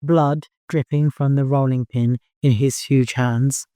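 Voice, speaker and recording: A man narrating fiction as an audiobook, in an expressive, dramatic storytelling manner. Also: mid-range voice, a little slow, smooth, no room sound, studio quality.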